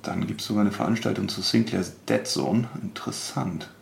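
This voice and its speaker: A man's voice: fairly low pitch, normal speed. A man hosting a casual on-location interview in an office. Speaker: podcast host interviewing staff. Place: indoors in an office. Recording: echoey room, clear background noise.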